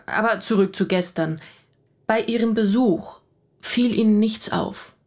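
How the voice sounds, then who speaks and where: A woman playing a calm, probing investigator in a naturalistic radio drama, questioning politely in a measured, matter-of-fact way. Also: mid-range voice. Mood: inquisitive, calm. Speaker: actor.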